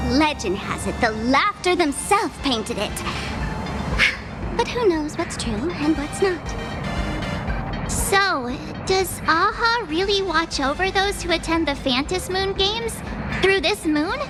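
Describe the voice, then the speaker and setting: Very high, perky girl traveller. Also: full character voice. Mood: wondering. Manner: cute, expressive, informal. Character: perky, curious, chatty young girl traveller. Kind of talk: anime game voice acting.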